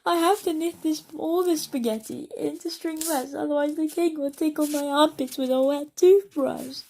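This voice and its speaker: A woman voicing a timid young miller's daughter who speaks in a high, frightened, tearful voice. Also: steady tone, a little fast, a little mellow, slightly rough, natural voice. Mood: pleading.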